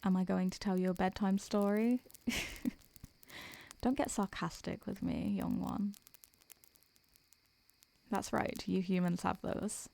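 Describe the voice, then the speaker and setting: Woman voicing a mellow, creaky, tender ancient succubus. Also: medium pitch, fairly even intonation. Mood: teasing, fond. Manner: gentle, affectionate, unhurried, murmuring endearments. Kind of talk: intimate soothing roleplay audio, ASMR-like.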